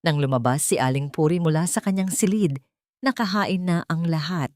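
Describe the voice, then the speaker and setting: Filipino woman reading a dramatic love story, deep-ish voice, really dry sound no room. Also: normal speed, darkish tone, dry recording. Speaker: story narrator. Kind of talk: dramatic scripted narration of a romance story.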